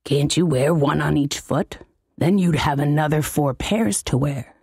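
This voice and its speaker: A woman voicing a bored, cheeky male laundry demon servant in a comic fantasy audiobook, deadpan and formally insolent. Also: low voice, measured pace, husky, dry recording, clean studio sound, exaggerated voice.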